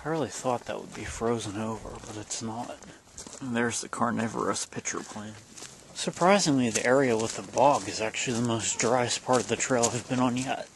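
A man's voice: mid-range voice, moderate pace. Man narrating a hike, noisy outdoor audio. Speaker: vlogger. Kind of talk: casual hiking vlog commentary. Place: outdoors on a wooded trail. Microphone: handheld camera, held by the speaker.

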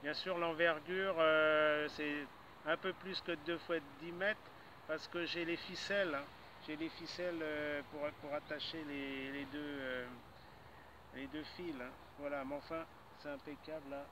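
A man's voice: on the high side, steady tone, unhurried. An older man chatting casually as an amateur radio operator outdoors, punctuating his phrases with little tag words. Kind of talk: casual ham radio exchange. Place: outdoors in a grassy park. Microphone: camera a few metres away, holding radio hand mic. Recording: outdoor noise behind voice.

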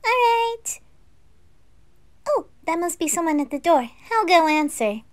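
A woman voicing a bubbly, excitable, teasing teenage girl with gushing, giggly delivery in an amateur anime roleplay. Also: very high-pitched, pitched above the performer's own voice, heavily acted. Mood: friendly, chirpy. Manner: gushing, giggly, drawn-out exclamations.

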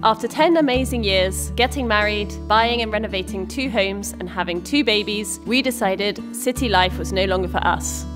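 A woman's voice: mid-range voice, moderate pace, hardly any pauses. British woman narrating over music. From United Kingdom. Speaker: vlog co-host. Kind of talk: scripted narration.

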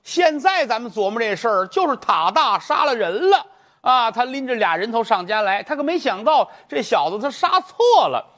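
A man voicing an elderly mother who pleads in court, crying out her lament in a drawn-out sing-song. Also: very high-pitched, very animated, fairly bright tone, pitched above the performer's own voice, full character voice. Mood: pleading. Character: wailing grief-stricken old mother pleading in court.

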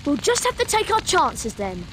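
A woman's voice: high-pitched, huge pitch swings, moderate pace, noisy background. A woman voicing a plucky, nervous young schoolboy wizard in a video game, boyish and plain-spoken. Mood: uneasy, determined. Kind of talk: scripted video game voice acting. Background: loud game music.

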